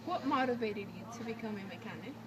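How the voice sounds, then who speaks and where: A woman acting as a polite wartime field reporter of the 1940s, putting scripted questions to her guests. Her voice is fairly high and distant from the mic, with clear noise. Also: expressive, quick pace, close to an everyday voice. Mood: polite, inquisitive.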